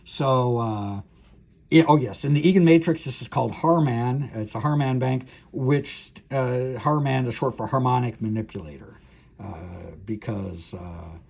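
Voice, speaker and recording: Male professor, mid-pitched and a little husky; halting technical lecture delivery, thinking aloud as he explains. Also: a little mellow. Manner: halting, thinking aloud with frequent filled pauses and restarts.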